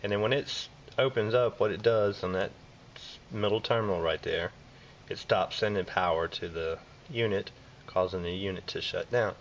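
Southern hvac guy walking through wiring, lowish voice, faint hum behind, lots of uh's. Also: moderate pace. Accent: Southern American. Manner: plain step-by-step explaining with frequent uh fillers. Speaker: HVAC technician. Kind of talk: hands-on repair walkthrough. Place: indoors at a furnace in a basement or utility space. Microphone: handheld camera filming close-up work.

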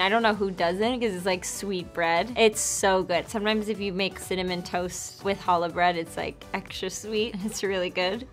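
A young woman in her twenties talks easily in a studio interview, her voice sitting at an ordinary middle pitch. She chats loosely, drops in plenty of "like", and laughs along the way. Soft music runs faintly beneath her. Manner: chatty, laughs often, filler "like". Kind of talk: casual chat to camera. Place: indoor studio before a dark backdrop.

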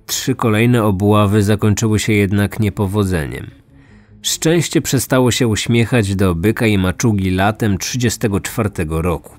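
A male true crime narrator with a fairly low voice. He reads a scripted story seriously and with measure, over faint music. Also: normal speed. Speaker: narrator, host.

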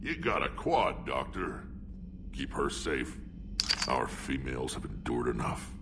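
An actor voicing a huge, gruff alien warrior in a video game, wary and protective, with a gravelly rumble and blunt, menacing manner. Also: male voice, big pitch swings, unhurried, exaggerated voice.

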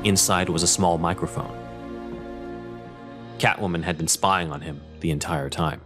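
Low-voiced male narrator over music. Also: steady tone, moderate pace. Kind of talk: scripted dramatic narration. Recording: prominent music under narration.

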